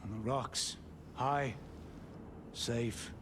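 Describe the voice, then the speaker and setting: An actor playing a grave, knowing older Norse guide in a video game, speaking in a solemn, measured way. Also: male voice, medium pitch, noisy background. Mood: solemn, ominous. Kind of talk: dramatic video game acting.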